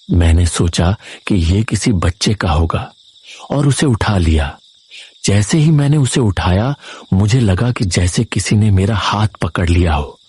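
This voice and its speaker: A man's voice: on the low side, a little mellow, breathy edge. A man narrating a scripted first-person horror story in a dramatic, suspenseful manner.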